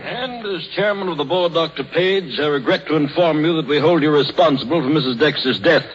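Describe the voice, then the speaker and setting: A man's voice: a little high, fairly quick, natural voice. An actor playing a formal, stern, pompous board chairman in a 1930s radio drama. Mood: cold, official. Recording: reverberant, muffled 1930s radio broadcast, music.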